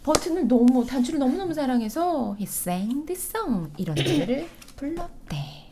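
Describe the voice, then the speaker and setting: A woman's voice: high voice, rapid-fire, crowd noise. A woman reading a storybook interactively as a teacher, expressively prompting with questions. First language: Korean. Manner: expressive, encouraging child to answer. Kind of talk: animated interactive read-aloud, asking questions.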